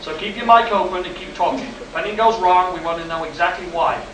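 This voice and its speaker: A man's voice: high-pitched, fast talker, lightly acted. A man playing an earnest, fussy rocket project chief in a vintage science-fiction radio play, insistently giving instructions. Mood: earnest, warning. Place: convention hall, live stage performance. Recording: echoey hall, distant mic, audience noise.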